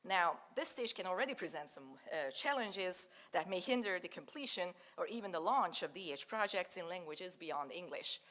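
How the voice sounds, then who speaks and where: A woman whose first language is Hungarian, giving an academic lecture in a measured, explanatory way with frequent fillers. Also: fairly low pitch, lively intonation, fairly bright tone. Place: lecture room in a German-speaking country.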